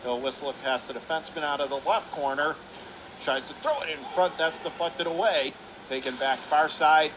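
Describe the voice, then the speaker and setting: Man, high voice, radio hockey play-by-play, clipped phrases, excitable, noticeable rink noise behind. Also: brisk. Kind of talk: live hockey play-by-play commentary. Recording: noisy live rink recording.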